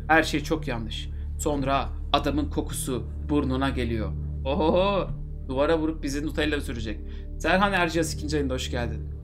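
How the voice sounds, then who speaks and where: A man's voice: fairly high pitch, brisk, over music. A man in his thirties reading a visual novel aloud on a live stream with joking asides, into a headset mic. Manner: joking asides between narration. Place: home streaming room.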